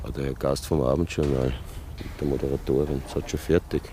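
A man's voice: extremely low pitch, flat delivery, fairly quick. An actor playing a chatty male radio station colleague in a radio drama, casual and teasing. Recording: clearly noisy background.

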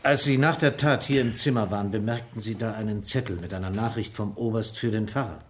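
A man's voice: lowish voice, a little fast, a little hiss or hum, close to an everyday voice. A man acting in a radio drama as a sceptical, authoritative police inspector who questions in a dry, probing way. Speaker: actor playing a police inspector.

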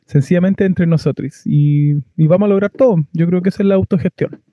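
A Chilean man giving thoughtful, halting answers as an interviewee. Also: high voice, no room sound. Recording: muffled, lo-fi dry recording.

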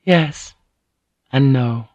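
A man's voice: mid-range voice, extremely slow, a little mellow, no room sound, pitched above the performer's own voice. A man voicing a serene, tender, fatalistic noblewoman with formal phrasing, in an audiobook. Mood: calm, resigned.

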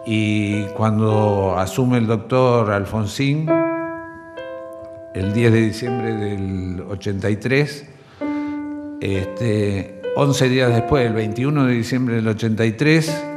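A middle-aged man with an Argentine accent recalls events in a halting way, repeating phrases. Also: lowish voice. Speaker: interviewee, relative of a disappeared woman. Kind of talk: spontaneous recollection. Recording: music bed under interview. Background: music.

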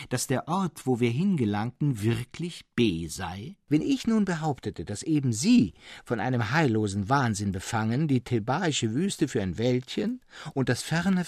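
A man acts out a serene but mad hermit monk in a literary audiobook. The voice is husky and moves in wide, animated arcs, eloquent and insistent as it argues with calm conviction. It sounds close and dry. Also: medium pitch, dry recording. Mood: probing, measured. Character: serene, mad male hermit believing himself a martyred monk. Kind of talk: dramatic literary audiobook reading.

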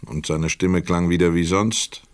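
A man with a Swiss German accent narrating a radio play in a measured, sober way. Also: low-pitched, steady tone, clean tone, no room sound, studio quality.

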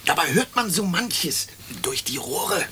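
Radio drama part: nosy workman spirit, bright, animated swoops, confiding manner, faintly hissy recording. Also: fairly high pitch, measured pace, exaggerated voice. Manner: gossipy, confiding. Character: gossipy ghost of a heating fitter, working man.

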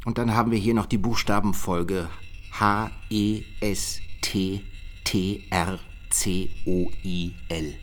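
An actor playing a matter-of-fact cold case detective in a radio drama, briefing a colleague in a measured way. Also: male voice, low voice, steady tone, a little hiss or hum. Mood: matter-of-fact, intrigued.

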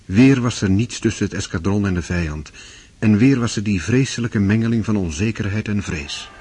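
A man's voice: lowish voice, moderate pace, darkish tone, slight background noise. A man narrating a radio drama in a scripted, literary style.

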